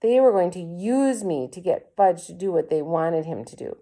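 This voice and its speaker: Mellow woman reading a children's book aloud. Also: on the low side, animated, moderate pace. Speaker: read-aloud storyteller. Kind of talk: expressive children's book narration.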